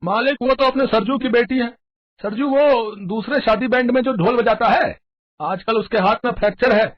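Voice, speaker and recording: A man's voice: very high-pitched, moderate pace, no room sound, muffled recording. A man voicing a deferential band drummer in a story, informing his boss in a matter-of-fact way. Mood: informative.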